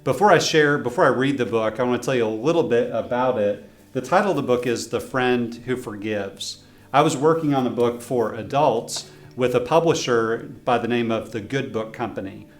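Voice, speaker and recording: A friendly man reads a children's story in an even, middle-pitched voice and pauses to put questions to young listeners. The room around him is roomy and echoey. A faint guitar plays behind him. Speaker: professor reading his own children's book. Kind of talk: reading a children's story aloud.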